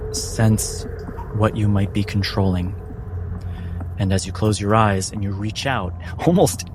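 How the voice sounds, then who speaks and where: Slow, low-voiced male game master. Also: background noise. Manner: measured, suspenseful phrasing with pauses. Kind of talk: dramatic narration of a tabletop horror fantasy game. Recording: music under narration.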